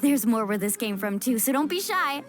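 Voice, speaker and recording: Young warrior woman for a game, female actor: casual, supportive, high-pitched, music underneath. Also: expressive, moderate pace, lightly acted. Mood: upbeat. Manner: casual, encouraging, plain-spoken. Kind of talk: video game voice acting. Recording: music behind the voice.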